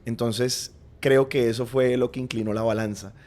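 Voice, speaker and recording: Colombian guy, guest on a podcast, earnest and reflective, lots of little fillers, mid pitch. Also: steady tone, moderate pace, darkish tone. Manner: earnest, reflective, frequent "digamos" and "pues" fillers. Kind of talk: personal storytelling in conversation.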